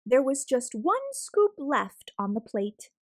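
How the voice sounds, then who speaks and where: A woman reading a children's picture book aloud as a storyteller, expressive and deliberate, drawing out words for emphasis. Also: sits high, no echo, studio quality. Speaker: storyteller, read-aloud host.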